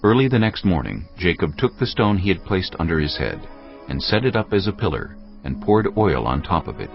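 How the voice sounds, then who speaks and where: Very deep, gravelly male narrator. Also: big pitch swings, fairly quick. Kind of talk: solemn scripted scripture narration. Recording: dull sound, music clearly behind.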